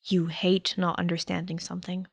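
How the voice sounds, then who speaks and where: A woman's voice: fairly low pitch, level tone, moderate pace, vocal fry, husky, no room sound. Woman as a restrained, protective male teacher. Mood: tired, flat. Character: gruff male teacher, guarded, determined, protective of students. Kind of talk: audiobook character voice.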